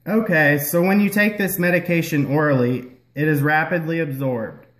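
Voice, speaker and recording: A man's voice: on the high side, moderate pace, fairly bright tone, close to an everyday voice. Man playing instructive puppet clinician, echoey room. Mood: explanatory. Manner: explanatory, instructional. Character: patient, instructive male health-care puppet explaining medication. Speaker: health educator explaining a prescription. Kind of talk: scripted educational puppet-show dialogue. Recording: roomy echo.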